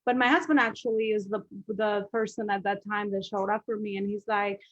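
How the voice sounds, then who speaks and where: A woman's voice: mid-range voice, steady tone, a little fast, no room sound, dull audio. A middle-aged woman with an Albanian accent, a business coach telling her story as a podcast guest, chatty with many fillers and restarts.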